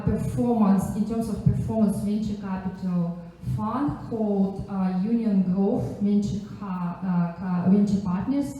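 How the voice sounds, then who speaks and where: A woman with a Russian accent speaks from some distance in a very reverberant room. Her voice is mellow and mid-pitched. She talks informally to an audience as a panelist, halting often and slipping in chatty asides. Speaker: panelist, angel investor and economist. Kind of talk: informal self-introduction to a live audience. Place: echoey indoor event venue. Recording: very echoey room, distant microphone.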